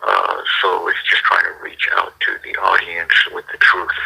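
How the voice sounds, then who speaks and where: A man answering questions on a phone call as a ministry staffer, explaining in a halting, casual way. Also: low voice, completely flat delivery, vocal fry, pushed and bright, husky.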